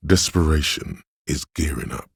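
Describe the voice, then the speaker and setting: A man voicing a grim, goading inner voice of pain and endurance in a video game, narrating with slow, weighty, intense delivery and a husky, gravelly tone. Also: very deep voice, dry recording, clean studio sound, heavily acted. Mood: grim, brooding.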